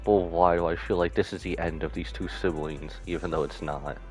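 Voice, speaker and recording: A man's voice: deep voice, fairly even intonation, brisk, darkish tone, soft background music. A man giving casual, slangy live game commentary with skeptical asides. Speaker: game streamer.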